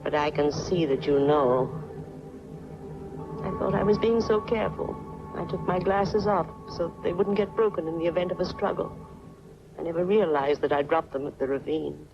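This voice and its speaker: An actress playing a mousy older aunt who is a coldly menacing murderess, speaking deliberately in 1940s film style. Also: female voice, lowish voice, creaky, over music. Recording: dull 1940s film soundtrack from VHS. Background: prominent film score.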